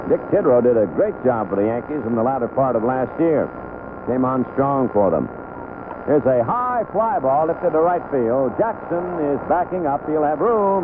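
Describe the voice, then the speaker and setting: A man calling baseball play-by-play live on the radio in short, unhurried phrases. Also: fairly high pitch. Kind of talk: live radio sports commentary. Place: outdoor ballpark broadcast booth. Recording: tinny, noisy old AM radio broadcast.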